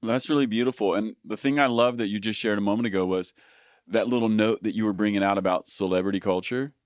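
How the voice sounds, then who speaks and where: Earnest man in his forties, smooth voice. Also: lowish voice, quick pace, no echo, studio quality. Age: 40s. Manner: earnest and encouraging, long wordy questions. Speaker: podcast host. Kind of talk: interview.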